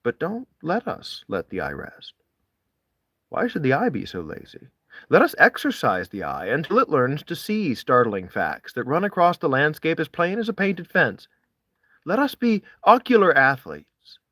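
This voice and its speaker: A man reading classic literary prose aloud, deliberately, with dramatic rises and falls in his phrasing. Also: on the high side, huge pitch swings, a bit bright, no echo, studio quality. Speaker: audiobook-style reader of classic essays. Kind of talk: literary read-aloud, expressive scripted narration.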